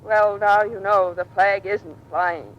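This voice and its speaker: An actress plays a troubled wife of a naval skipper in an old radio drama. She speaks with earnest, halting emotion. The recording is noisy, with engine rumble behind her. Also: fairly even intonation, muffled recording, lightly acted. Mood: hurt, pleading. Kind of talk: vintage American radio drama acting.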